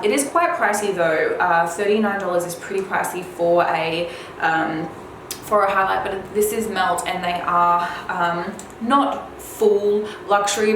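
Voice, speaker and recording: A woman beauty vlogger chatting casually and informally to viewers, her voice slightly raspy from a cold. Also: on the low side. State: slightly under the weather with a cold. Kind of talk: casual chat to viewers about product releases. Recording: very echoey room, faint background noise.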